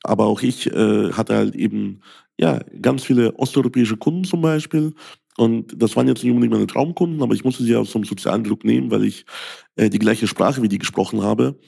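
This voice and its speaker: A man's voice: on the low side, brisk, vocal fry, warm tone, slightly rough. A man from Ukraine, a sales coach, talking through a podcast monologue with many fillers and "ja?" tags. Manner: frequent fillers, rhetorical "ja?" tags.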